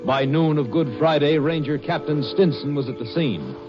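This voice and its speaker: An actor as the solemn narrator of an old radio crime drama, formal and measured. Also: male voice, on the high side, over music, lightly acted. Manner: formal, measured announcer delivery. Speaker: announcer. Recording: muffled old radio recording.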